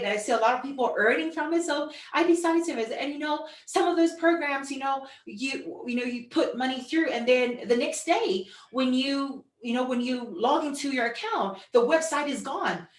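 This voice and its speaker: A woman from Samoa giving an informal online business presentation with personal storytelling, in a halting, repetitive way full of "you know". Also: on the high side. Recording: echoey room, distant microphone.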